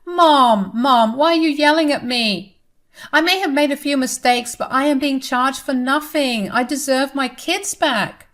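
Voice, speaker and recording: A woman voicing a lying, self-pitying grown daughter who pleads in a high whine. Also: measured pace, a bit bright. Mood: pleading.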